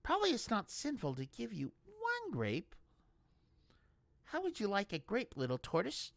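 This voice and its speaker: A man voicing a naive, earnest young male novice in a high, fluttering voice. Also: wildly swooping pitch, normal speed, creaky, a bit bright, no echo, exaggerated voice. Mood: gentle.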